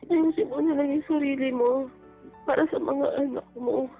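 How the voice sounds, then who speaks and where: A woman calling in to a radio advice show over the phone, speaking personally and earnestly in a halting, pleading way. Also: sits high, steady tone. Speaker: caller seeking relationship advice. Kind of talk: personal, confessional phone-in talk. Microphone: phone call. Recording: tinny phone line with music underneath.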